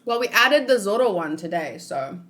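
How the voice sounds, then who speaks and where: A woman hosting a read-along in a casual, expressive and giggly reaction style. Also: medium pitch, normal speed, a little hiss or hum. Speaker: host of a manga read-along.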